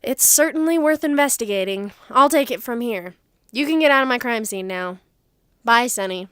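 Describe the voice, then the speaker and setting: A woman playing a brisk, businesslike police investigator in an audio drama, questioning and in command. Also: fairly high pitch, animated, fairly bright tone, smooth, dry recording. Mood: dismissive.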